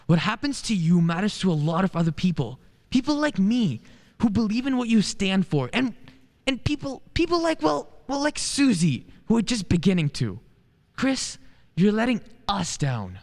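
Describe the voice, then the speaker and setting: A woman plays a well-meaning male lawyer in a radio drama, earnest and loyal. The delivery swings widely in pitch, in a reverberant hall. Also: very animated, moderate pace, darkish tone. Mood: concerned, pleading. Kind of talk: radio play acting. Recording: echoey hall.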